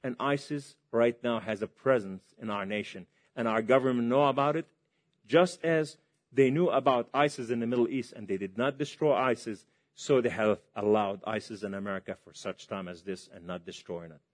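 Male preacher from Lebanon, mid-pitched voice, fervent testimony sermon, big swings in volume. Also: moderate pace. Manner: impassioned, swings between loud and hushed.

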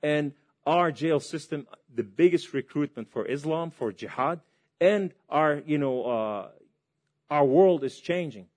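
A man from Lebanon preaching a testimony sermon to a large hall, impassioned and swinging between loud and hushed. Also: fairly high pitch, lively intonation, measured pace.

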